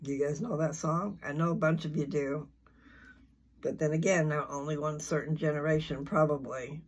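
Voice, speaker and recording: An older speaker chatting casually and informally in a folksy, meandering way, often halting to search for words. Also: mid-range voice, steady tone, slightly rough. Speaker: host of a scripture reading channel. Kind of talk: rambling casual chat before a reading.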